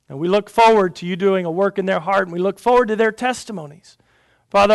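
Pastor, man, high voice, earnest church prayer and announcements. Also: clean tone.

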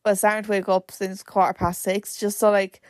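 A young woman talks through her solo podcast in a loose, rambling way, leaning on "like" again and again. Her mid-pitched voice is slightly croaky, with the odd crack, and the sound is close and dry. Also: level tone, moderate pace, dry recording. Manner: chatty and rambling, full of "like" fillers. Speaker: podcast host. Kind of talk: casual solo podcast chat.

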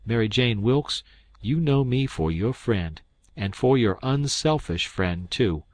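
A man's voice: mid-range voice, animated, slow pace, no room sound. A man voicing a blunt, honest, indignant doctor in an audiobook, scolding and emphatic. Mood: stern pleading. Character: blunt, indignant iron-jawed male doctor, stern and honest.

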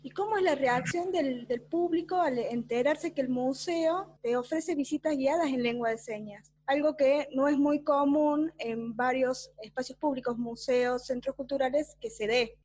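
Woman interviewer, high voice, courteous and formal. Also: moderate pace, few pauses, a little mellow, slightly rough. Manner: polite, formal, some repeated words.

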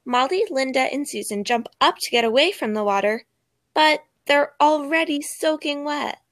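A woman's voice: sits high, expressive, normal speed, fairly bright tone, no room sound, studio quality. A woman doing scripted narration for an amateur audio play.

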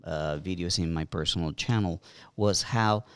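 A man with a fairly low voice co-hosts a casual talk show, pressing his guest with pointed, opinionated questions. The sound is dry and close, with no room around it. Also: normal speed, clear, resonant tone, dry recording. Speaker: co-host. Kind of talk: casual discussion.

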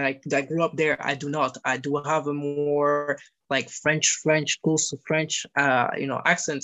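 A young man, a guest talking casually in an interview and often pausing with fillers while he searches for words in a language that is not his first. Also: a little high. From Italy. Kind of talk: casual conversation.

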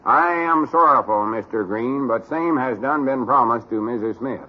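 A man's voice: mid-range voice, normal speed, breathy edge, natural voice. An actor playing a bossy, self-important country man with a Southern US accent, officious and exasperated, in an old-time radio comedy. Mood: stiff, pompous. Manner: exasperated, officious, stiffly pompous when reading. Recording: muffled, worn old radio recording.

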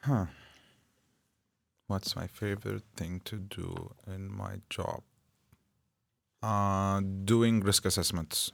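A man's voice: sits low, fairly even intonation, slow pace, gravelly, long pauses, no room sound. A young man from Jordan, an Arabic speaker, answering interview questions casually and explaining things patiently with plain examples. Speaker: interview guest, school operations officer. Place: school radio room.

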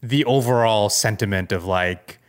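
Lowish-voiced man, thinking aloud. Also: normal speed. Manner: tentative, thinking aloud, often ends with "right?". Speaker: podcast co-host. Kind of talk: casual conversational commentary.

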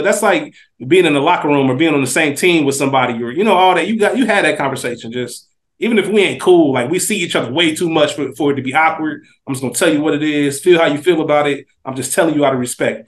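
A man's voice: fairly high pitch, quick pace. An American man co-hosting a casual TV recap podcast, talking in slang and repeating phrases for emphasis. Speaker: podcast co-host.

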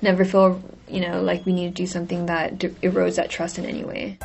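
A woman's voice: on the low side, completely flat delivery, fast, creaky, highs cut off. A woman who runs a beauty company, speaking thoughtfully in an interview with some fillers. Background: soft background music.

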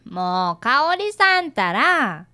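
A woman's voice: fairly high pitch, sing-song, slow, bright, forceful tone, full character voice. A woman playing a polite, earnest fifth-grade boy who is an apprentice detective and narrates a radio drama. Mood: polite, flustered.

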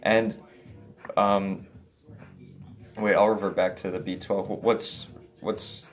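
A young man with a lowish, soft voice talks as a guest in a casual chat. He rambles, leans on "like" constantly and restarts his sentences. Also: steady tone, slow pace. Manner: rambling, heavy use of "like", restarts.

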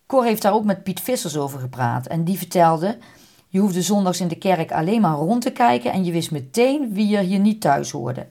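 A woman's voice: lowish voice, animated, moderate pace, vocal fry, mellow. A woman presenting a podcast as scripted documentary narration.

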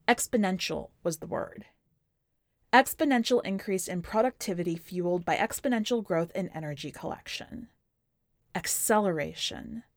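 A woman's voice: medium pitch, no echo. Woman reading fiction, close dry sound. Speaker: podcast host reading a short story. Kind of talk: scripted literary narration.

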